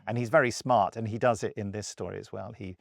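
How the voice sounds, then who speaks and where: A man's voice: lowish voice, steady tone, quick pace, vocal fry, no room sound, studio quality. A man chatting enthusiastically in an interview as someone who makes audio dramas. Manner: enthusiastic, acts out imagined quotes. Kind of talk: interview chat.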